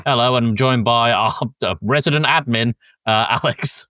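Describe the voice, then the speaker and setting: A man's voice: mid-range voice, moderate pace, bright, forceful tone, no echo, studio quality. A man hosting a podcast with casual film discussion while a bit sick. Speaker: podcast host.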